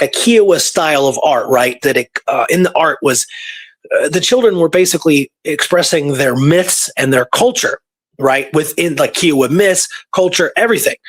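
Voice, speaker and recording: A man with a somewhat high voice shares history in a loose, conversational way. He explains things as a podcast presenter would, informally, leaning on repeated words for emphasis. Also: a bit bright, breathy edge. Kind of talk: casual history storytelling.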